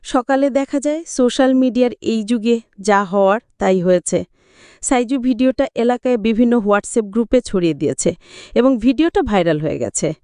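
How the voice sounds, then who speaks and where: Female movie-recap narrator, smooth and resonant, dry studio sound, dramatic scripted storytelling. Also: on the high side, moderate pace, warm tone, dry recording.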